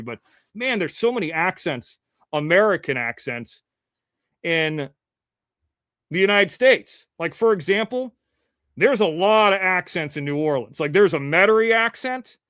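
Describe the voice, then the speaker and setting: A man from Ohio hosts a live show with a high voice, rambling through the chat and swearing casually. His diction stays clean and crisp. The sound is dry and close, with no room around it. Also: a bit bright, dry recording.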